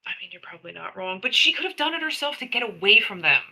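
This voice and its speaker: A woman's voice: medium pitch, big pitch swings, fast talker, sharp tone. A woman playing in a tabletop roleplay game, chatting informally and swearing freely. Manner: sweary, informal, hesitant restarts. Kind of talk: casual in-character roleplay chat.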